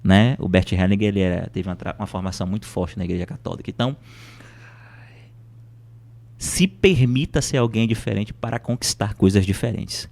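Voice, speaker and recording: A man's voice: lowish voice, brisk, vocal fry, darkish tone. A Brazilian man speaking as a podcast guest, explaining things in a conversational, motivational way with rhetorical questions and emphatic repetition.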